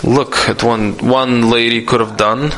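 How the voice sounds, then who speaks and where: A man giving a live spoken interpretation of a question-and-answer session, halting often with restarts and fillers. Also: medium pitch, fairly even intonation, a bit bright, low-quality recording.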